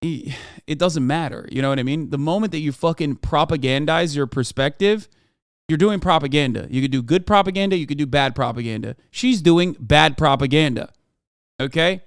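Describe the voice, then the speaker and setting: A man's voice: a little high, moderate pace, no room sound, clean studio sound. A man from Turkey, in his thirties, giving heated, profane reaction commentary as a streamer, lecturing and emphatic.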